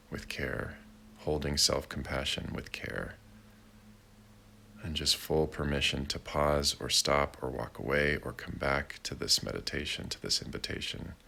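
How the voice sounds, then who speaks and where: A man leading a guided meditation in a gentle, deliberate way, with long pauses between short phrases. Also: extremely low pitch, creaky, slight background noise. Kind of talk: guided meditation, slow and unhurried.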